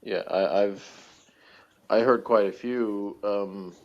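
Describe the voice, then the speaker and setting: A man chatting casually as a podcast reviewer, in a rambling, halting way that often trails off. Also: fairly low pitch, steady tone. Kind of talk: casual chat. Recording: dull, lo-fi voice recording.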